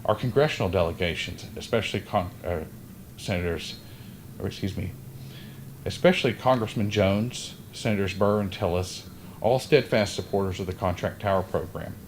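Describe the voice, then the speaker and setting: A man with a very deep voice reads prepared remarks at an outdoor podium, moving steadily through a list of thanks and stumbling now and then. Faint outdoor sound sits behind him. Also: moderate pace, a little hiss or hum. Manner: reads thank-you list, occasional slips. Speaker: airport official and emcee. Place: outdoor groundbreaking site. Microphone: podium microphone.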